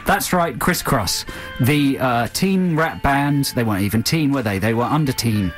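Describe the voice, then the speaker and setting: A man's voice: mid-range voice, animated, moderate pace, faint music behind. A man co-hosting a radio show, bantering playfully and teasing callers. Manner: playful, teasing, laughs often. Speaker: radio DJ co-host.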